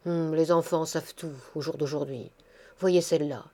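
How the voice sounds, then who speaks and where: A woman voicing a gruff but kindly old woman neighbor who fusses and takes charge in a bossy, brusque manner. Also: on the low side, normal speed, darkish tone, natural voice. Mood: muttering.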